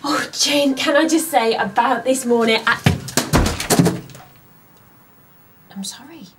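An actress playing a brisk, blunt British woman who works in an office, in a comedy soap. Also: high voice, echoey room, noisy background, close to an everyday voice. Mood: apologetic. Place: office, indoors.

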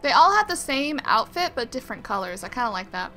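A woman's voice: fairly high pitch, sing-song, fast, pushed and bright, soft background music. A woman hosting a let's play, commenting casually on a game and reading on-screen text aloud.

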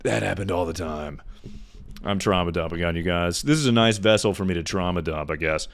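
A young man with a low, deep voice talks loosely to his chat in a casual livestream. He rambles and pokes fun at himself, leaning on fillers. He is close on a studio mic in a bedroom. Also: a little fast. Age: young adult. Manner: rambling, self-deprecating, filler-heavy. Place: bedroom streaming setup. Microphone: studio mic on boom arm.

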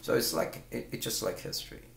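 A man's voice: a little fast, roomy sound. A middle-aged man whose first language is Chinese, talking casually to his webcam at home as a guitar teacher. He rambles, repeats words and asks the viewer pointed questions. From China. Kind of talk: casual talk to camera. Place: small room at home. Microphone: webcam at close range.

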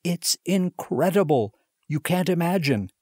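Guy reading as a giddy young clerk, higher voice, gushing and breathless, dry close sound. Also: measured pace, no room sound. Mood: elated. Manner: excited, gushing, tumbling exclamations. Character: overjoyed young male clerk, breathless with excitement. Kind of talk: audiobook character reading.

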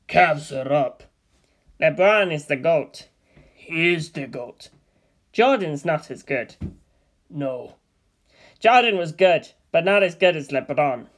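A man reading a comedy screenplay aloud in a flat, deadpan monotone, announcing scene headings and character names. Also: on the high side. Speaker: reader of a comedy script.

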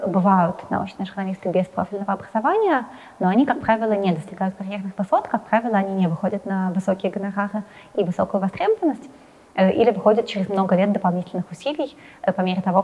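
A young adult woman answering interview questions while seated in a studio, talking quickly with frequent hesitant fillers. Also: medium pitch, mellow, in a large hall, dull audio. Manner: hesitant fillers, fast and talkative. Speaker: interviewee, science journalist. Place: indoor studio with plain wall, seated in armchair.

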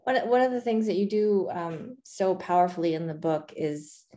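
Low-voiced woman hosting a video call. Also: expressive, moderate pace, dark tone. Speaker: library event host. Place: home room.

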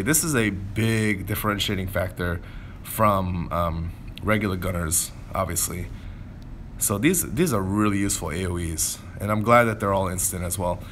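A man's voice: on the low side, fairly bright tone, slight background noise. A man giving casual gameplay commentary, informally thinking aloud as he plays. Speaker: gameplay video creator.

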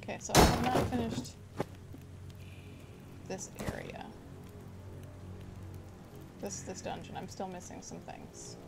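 Brief: young female let's player, excitable, thinking aloud, mid pitch, loud game music under her. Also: slow, gravelly, long pauses. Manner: chatty, excitable, muttering to herself. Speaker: gaming video host. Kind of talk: casual let's play commentary.

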